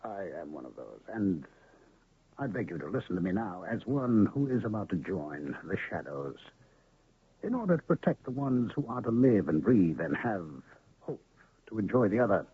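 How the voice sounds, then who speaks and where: A grand showman medicine man, lowish, swoopy, super husky, tinny old radio. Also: very animated, moderate pace, full character voice. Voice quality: grand, theatrical. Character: mysterious male medicine man and illusionist. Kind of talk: 1970s radio drama acting. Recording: lo-fi phone-band old radio recording.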